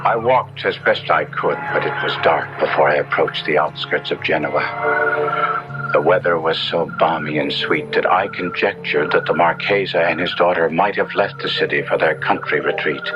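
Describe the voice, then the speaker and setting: A man's voice: low-pitched, lightly acted. A man acting a tormented young Italian nobleman trapped in a monster's body, narrating a 1970s radio horror drama in florid, theatrical diction. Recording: dull vintage radio recording with loud music.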